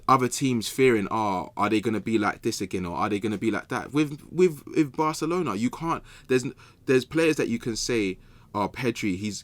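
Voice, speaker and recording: A man's voice: on the low side, dry recording. A young man with a London accent in a casual football debate, emphatic and conversational with plenty of slang. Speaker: podcast guest pundit.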